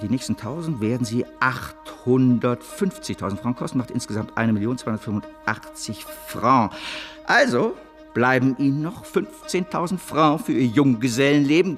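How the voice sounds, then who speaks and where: An actor playing a domineering, persuasive financier in a German radio drama, grandiose, cajoling and impatient. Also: male voice, medium pitch, close to an everyday voice. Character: domineering, persuasive financier intoxicated by his own schemes.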